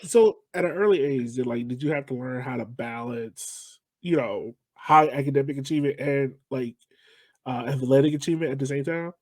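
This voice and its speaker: An American man hosts a casual interview in loose, colloquial speech. His voice is medium in pitch and comes across as enthusiastic. The recording is very dry, with no room sound. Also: normal speed, dry recording. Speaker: podcast host, interviewer.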